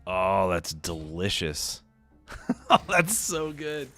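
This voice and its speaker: Game streamer, man, very deep voice, a bit hoarse and sniffly, relaxed chatty delivery. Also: slow. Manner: laid-back, chatty, lots of fillers. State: slightly hoarse and sniffly from a cold. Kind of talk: casual live-stream commentary. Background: faint game music.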